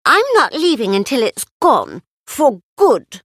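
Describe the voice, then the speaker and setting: Woman playing a little boy kangaroo, childlike, anxious, high-pitched, storybook. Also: wildly swooping pitch, unhurried, fairly bright tone, no echo, studio quality, heavily acted. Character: small, frightened young male kangaroo child.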